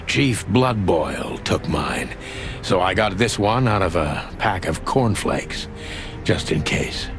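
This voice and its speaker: A hardboiled, weary detective in a noir video game. The voice sits very low and stays laconic and dry, telling the story wryly over clearly audible music. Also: male voice, slow pace, full character voice. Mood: weary, brooding. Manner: deadpan, wry, laconic. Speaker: detective and narrator. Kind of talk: scripted noir narration and game dialogue. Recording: music behind the voice.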